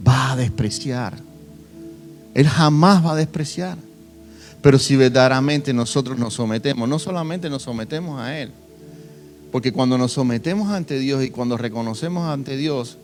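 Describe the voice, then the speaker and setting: A young man from Cuba, preaching a sermon and telling his own testimony, swinging between soft and loud emphasis. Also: medium pitch. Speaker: pastor.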